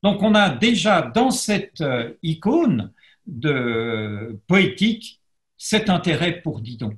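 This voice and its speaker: A man giving an academic lecture as a historian, pausing and restarting often as he reads and translates verse. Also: on the high side, very animated, reverberant. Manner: hesitant, frequent pauses and restarts; self-deprecating about his Latin pronunciation. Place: lecture setup facing a camera, roomy.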